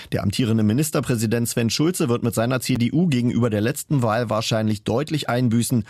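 A man's voice: lowish voice, darkish tone, no echo, clean studio sound. A man reading a scripted news report as a reporter.

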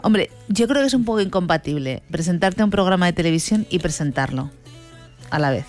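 A woman on a casting panel, giving frank opinions in a measured way, with hesitant fillers. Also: lowish voice, expressive, faint music behind. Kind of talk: casual panel chat.

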